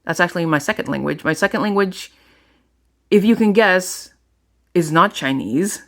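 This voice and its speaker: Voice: medium pitch, normal speed, a bit bright. A channel host from Colombia, a native Spanish speaker, talking to viewers in a casual, rambling vlog. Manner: rambling, talks to viewers directly.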